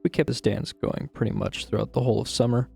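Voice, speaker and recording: Gravelly, brooding man acting as a troubled confessor. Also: mid-range voice, a little mellow. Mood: bitter, brooding. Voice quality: gravelly, creaky, husky. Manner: brooding, deliberate, dramatic storytelling. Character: troubled man confessing a grim childhood memory, guilty and bitter. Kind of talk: first-person dramatized horror story narration. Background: music.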